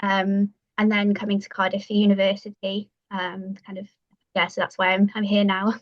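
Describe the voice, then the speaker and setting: A woman's voice: mid-range voice, monotone, normal speed, lo-fi sound. A young woman from West Wales, chatting as a podcast guest and filling her talk with "um", "like" and "kind of". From Pembrokeshire, West Wales. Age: young adult. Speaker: guest, university bird society president. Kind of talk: podcast interview.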